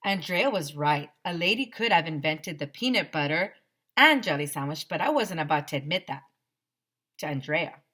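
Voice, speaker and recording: A woman teacher reading a children's chapter book aloud in an expressive, animated way. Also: sits low, moderate pace. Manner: animated, acts out dialogue.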